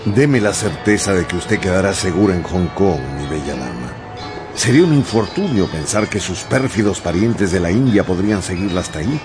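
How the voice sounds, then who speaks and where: An actor playing a courteous, reserved English gentleman traveller in a radio drama, speaking formally and gallantly. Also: low-pitched, expressive, moderate pace. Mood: concerned, courteous. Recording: music behind the voice.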